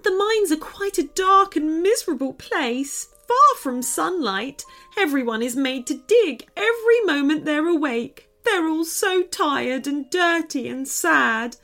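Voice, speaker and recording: A woman's voice: sits high, lively intonation, unhurried, hardly any pauses, fairly bright tone, soft background music, voice pushed up, exaggerated voice. A woman voicing a small, frightened runaway elf girl in a storybook, plaintive and tearful. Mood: sad, worried.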